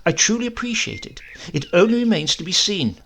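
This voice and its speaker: A man's voice: sits high, big pitch swings, a little fast, fairly bright tone, pitched above the performer's own voice, exaggerated voice. A man voicing a prim, earnest young parson who talks in a fussy, indignant, preachy way. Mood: pleased.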